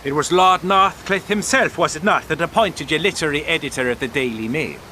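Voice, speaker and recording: An actor playing a wry, proud Irish writer and wartime stretcher bearer in an audio drama, sardonic and pointed, with an Irish accent. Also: male voice, fairly high pitch, brisk, sharp tone, a little hiss or hum.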